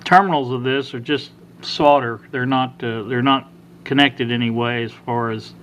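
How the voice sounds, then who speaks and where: Older male hobbyist host, sixties, average pitch, unscripted and hesitant, amateur recording with noticeable background noise. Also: steady tone, normal speed, a bit bright. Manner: hesitant, rambling. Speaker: hobbyist electronics repair host. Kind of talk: casual, unscripted how-to talk. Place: kitchen at home. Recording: clear background noise, amateur home video.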